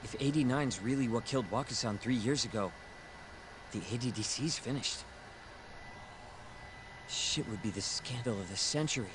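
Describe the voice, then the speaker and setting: A man's voice: medium pitch, moderate pace, pauses often, lightly acted. A man playing an inquisitive younger sidekick with a casual, questioning manner in game dialogue, with background noise. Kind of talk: video game dialogue acting.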